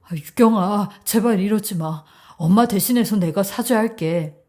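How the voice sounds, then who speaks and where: A woman's voice: mid-range voice, a little slow, darkish tone, natural voice. A woman voicing an exasperated adult son and bridegroom, pleading and scolding in a dramatized story.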